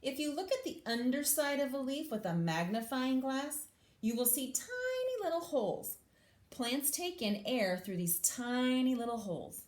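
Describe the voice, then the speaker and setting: A woman's voice: high-pitched, very animated, normal speed, darkish tone. A woman teacher reading a picture book aloud to children, adding simple explanations and questions for her young listeners. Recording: echoey room sound.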